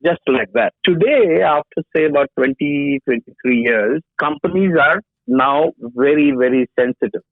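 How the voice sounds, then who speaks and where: A man in his sixties, a guest with a background in HR, explaining slowly and haltingly during a phone interview. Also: on the high side, sing-song, gravelly, dry recording. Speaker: HR industry guest. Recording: dry tinny phone line.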